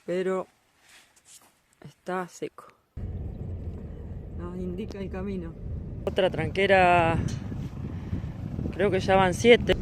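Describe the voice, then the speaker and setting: A woman's voice: on the low side, fairly even intonation, slow pace, long pauses. A woman with an Argentine accent, a travel vlogger chatting and narrating casually. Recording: noisy outdoor and vehicle sound.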